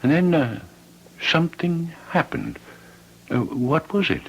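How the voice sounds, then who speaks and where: An actor playing a fussy, stern village priest, husky and hesitant, stammering and admonishing in radio drama style. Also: male voice, fairly high pitch, slow, muffled recording, full character voice. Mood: flustered. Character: fussy, stern village priest hearing confession, easily flustered. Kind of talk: old-time radio drama acting.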